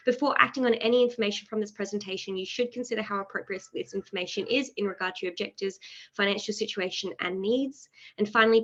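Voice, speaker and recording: A fast-talking woman hosts an online investing webinar and reads her prepared introduction briskly. She fills her pauses with um. Her voice comes through a dull, lo-fi video call line. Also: medium pitch, bright, forceful tone. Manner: brisk, with frequent "um" fillers. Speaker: webinar host. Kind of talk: reading a scripted company introduction.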